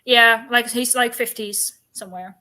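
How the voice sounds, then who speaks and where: A woman's voice: on the high side, fairly even intonation, unhurried, pushed and bright. A woman hosting a casual book discussion, speaking in a tentative, reflective way.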